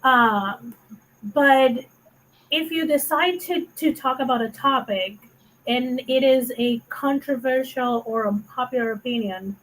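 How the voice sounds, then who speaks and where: A woman's voice: high voice, unhurried, a bit bright, rough recording. A woman with a Puerto Rican accent co-hosting a casual online chat, earnest and thoughtful, sometimes searching for words, on a video call. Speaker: co-host.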